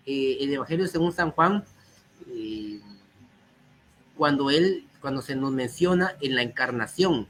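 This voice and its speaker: A man with a somewhat high voice, slightly hoarse from a cold, gives a teaching talk. He reads passages aloud and then explains what they mean. A faint noise sits behind him. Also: bright, forceful tone. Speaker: guest speaker. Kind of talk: teaching talk on early church texts.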